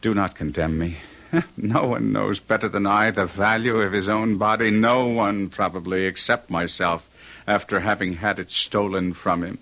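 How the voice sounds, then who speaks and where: An actor playing a tormented young gentleman in an old-time radio drama, with intense, theatrical and formal archaic delivery. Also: on the low side, steady tone, moderate pace. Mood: urgent. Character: Guido, tormented young gentleman recounting a duel with a monster.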